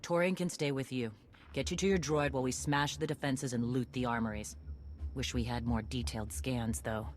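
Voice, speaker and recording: A blunt warrior commander. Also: female voice, extremely low pitch, fairly even intonation, moderate pace, a little hiss or hum. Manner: blunt, dry, rallying. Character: tough female warrior commander, blunt and dry.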